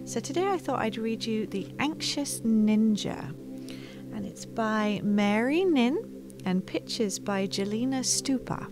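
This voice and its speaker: High-voiced woman reading a children's story. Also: animated, a little slow, over music. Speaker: storytime host reading picture books. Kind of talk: expressive children's story narration. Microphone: close microphone on a boom arm.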